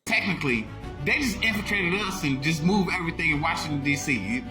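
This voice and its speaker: A man hosting a short online video, giving emphatic, repetitive commentary that keeps bursting into shouts. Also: fairly high pitch, expressive, fairly quick, music in the background. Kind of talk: animated social media commentary.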